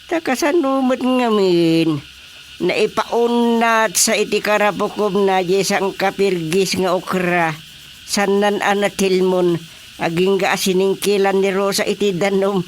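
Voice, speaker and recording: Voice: medium pitch, unhurried, natural voice. An actor playing a gossipy, amused grown child in a comedy radio drama. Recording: faint background noise.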